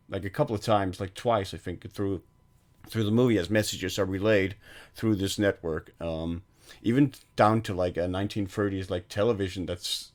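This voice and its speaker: A man co-hosting a film podcast, casually recounting scenes in a halting, piecemeal way. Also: low-pitched. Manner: halting, piecing thoughts together in fragments.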